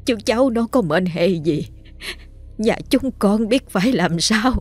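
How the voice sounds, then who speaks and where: A woman voicing a frantic old grandmother, praying slowly and softly for her grandson. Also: mid-range voice, expressive, breathy edge, faint music behind, pitched above the performer's own voice. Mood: pleading. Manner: slow, hushed, begging prayer.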